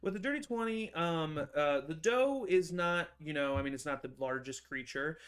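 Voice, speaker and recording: A man's voice: a little high, lively intonation, fairly bright tone. A young man narrating a tabletop game as game master, hesitant and full of fillers. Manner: halting, many fillers and restarts.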